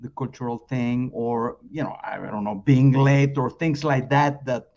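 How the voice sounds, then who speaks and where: A man in his fifties from Mexico, with a Mexican Spanish accent, speaking as a guest sales trainer on a podcast, halting often with fillers and repeated words. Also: medium pitch, fairly even intonation, creaky, a little mellow. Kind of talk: podcast interview. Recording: slightly dull lo-fi sound.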